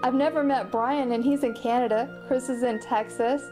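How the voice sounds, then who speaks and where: A woman's voice: high voice, steady tone, normal speed, music in the background. A woman reminiscing in a documentary interview as a studio collaborator.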